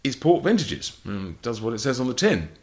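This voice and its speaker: Lively-pitched English man explaining wine. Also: brisk. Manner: precise, explanatory, lists facts in short clipped phrases. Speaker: port wine author. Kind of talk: interview answers.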